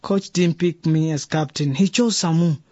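An actor playing a dejected teenage son who talks in a downcast, stammering way. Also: male voice, a little high, normal speed, a little mellow, no room sound, lightly acted. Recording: dull dry radio drama recording.

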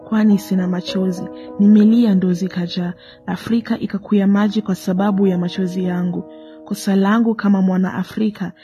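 Woman poet, mellow and even, mournful recitation, slightly dull recording over faint music. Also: medium pitch. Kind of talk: poetry recitation.

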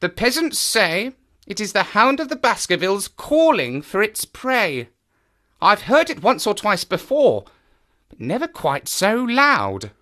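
An enthusiastic male naturalist guides a visitor across the moor, as a man acts him out in an audio drama. His voice leaps about wildly in pitch and carries a bright, forward edge. He explains with keen, knowing animation. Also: sing-song, a little slow, full character voice. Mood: musing. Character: eager male naturalist of the moors, lively and knowing.

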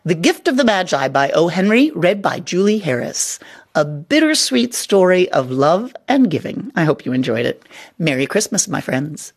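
Female program presenter: husky tone, swooping melodic delivery, scripted, speaking directly to listeners. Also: on the low side, very animated, normal speed. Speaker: radio program host.

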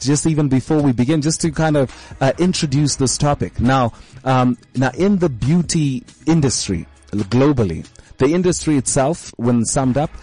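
A man's voice: medium pitch, mellow. A man hosting a casual interview show, rambling with many fillers and restarts. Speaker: show host.

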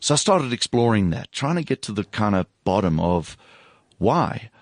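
A man with a hoarse, husky voice, speaking as a guest on a studio radio show, reflective and hesitant, with many fillers. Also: on the low side, big pitch swings, moderate pace, no echo. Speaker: bank executive guest. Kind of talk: casual radio chat.

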